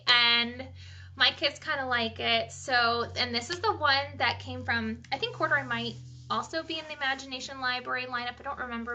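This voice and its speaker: A woman reading a bedtime story aloud to children in an animated, chatty way, pausing to ask the listeners questions. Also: sits high.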